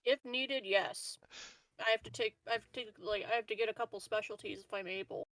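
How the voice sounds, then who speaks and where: A woman's voice: a little high, steady tone, brisk, a bit bright, no room sound, rough recording. A woman chatting casually as a player in a tabletop game group.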